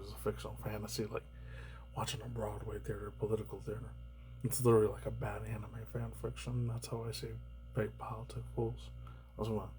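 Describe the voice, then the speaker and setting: A man rambling through casual news commentary, swearing often and laughing between remarks, his voice slightly raspy and tired. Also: lowish voice, darkish tone, slight background noise, poor audio. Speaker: commentary YouTuber.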